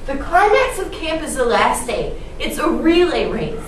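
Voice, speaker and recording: Lively high-voiced young woman, echoey hall. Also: measured pace, over a noisy crowd. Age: young adult. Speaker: readers theatre performer. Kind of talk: scripted dramatic storytelling. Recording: distant, echoey hall with clear noise.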